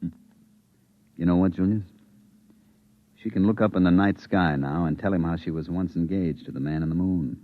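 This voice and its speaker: Guy acting a stubborn tired astronaut, deep voice, bitter, muffled old radio play. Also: moderate pace, pauses often, dry recording, lightly acted. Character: weary, bitter, stubborn spaceman stationed on the moon. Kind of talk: 1950s radio drama acting. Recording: dull 1950s radio recording.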